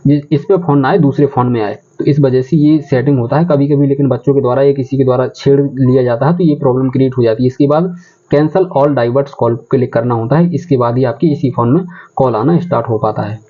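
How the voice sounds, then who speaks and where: A man's voice: mid-range voice, brisk. A man giving a step-by-step tutorial in an explanatory way, repeating his instructions. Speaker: tech tutorial presenter. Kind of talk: step-by-step phone settings tutorial. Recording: muffled phone-like audio, background chatter.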